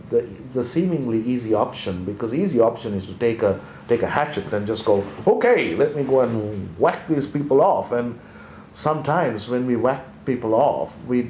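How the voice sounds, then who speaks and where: A man's voice: medium pitch, a little mellow, slight background noise. Thoughtful man in an echoey room. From Sri Lanka. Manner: thoughtful, hesitant restarts. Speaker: co-host, former accountant. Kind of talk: conversational leadership discussion.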